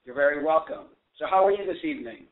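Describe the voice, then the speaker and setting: A man's voice: on the high side, animated, quick pace. Earnest man hosting radio. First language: not English. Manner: warm earnest praise, reading prepared questions. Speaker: radio host. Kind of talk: hosting a call-in radio show. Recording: phone-like lo-fi sound.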